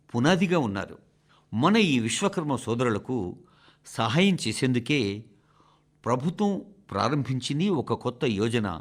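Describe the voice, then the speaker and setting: A man reads a scripted formal radio address as a translator's voice, in a measured, deliberate way, phrase by phrase. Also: medium pitch.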